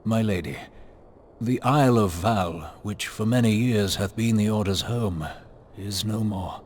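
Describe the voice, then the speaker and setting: A man's voice: fairly low pitch, unhurried, a little mellow, a little hiss or hum, natural voice. A man playing a solemn, deferential scholar in a fantasy video game, delivering grave news in ornate archaic speech. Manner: archaic, ornate, ceremonious diction. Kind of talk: video game cutscene acting. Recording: music behind the voice.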